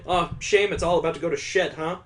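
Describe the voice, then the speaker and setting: Male let's-play host, slightly high voice, casual read-aloud delivery with sarcastic, irreverent asides. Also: quick pace. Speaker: gaming video host. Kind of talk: casual let's-play reading with joking commentary.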